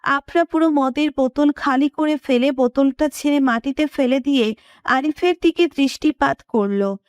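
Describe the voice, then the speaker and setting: A woman's voice: sits high, moderate pace, a little mellow, no room sound. A woman reading scripted narration for a romantic story as its narrator.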